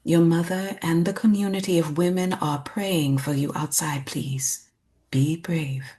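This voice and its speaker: An actress playing a firm, traditional village woman in a staged drama reading, blunt and deliberate with her old friend. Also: low voice, steady tone, mellow. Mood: grave, coaxing. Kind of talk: staged script read of a drama.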